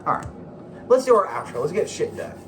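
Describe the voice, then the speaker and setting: A man giving casual, rambling video commentary as a host, halting and repeating himself, with informal, sweary phrasing. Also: fairly high pitch, very animated, a bit bright, slight background noise. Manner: profane, informal. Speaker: YouTube host.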